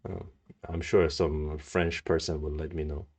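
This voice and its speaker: A man's voice: extremely low pitch, level tone, measured pace, darkish tone. A man teaching go on a live stream, thinking aloud in a casual, musing way with a slightly stuffy nose. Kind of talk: live-stream commentary while solving go problems.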